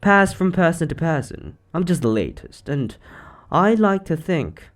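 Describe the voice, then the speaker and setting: A man playing an eccentric, secretly sinister occult shop owner in a roleplay monologue, chatty and offhand with theatrical, drawn-out words. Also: fairly high pitch, big pitch swings. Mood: breezy, smug. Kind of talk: scripted roleplay monologue to the listener.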